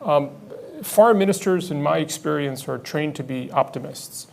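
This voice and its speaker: A man's voice: on the high side, moderate pace, creaky, a little hiss or hum. A man moderating a policy forum, polite and dry in tone, who sets the ground rules and poses questions with some hesitation. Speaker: moderator, program director.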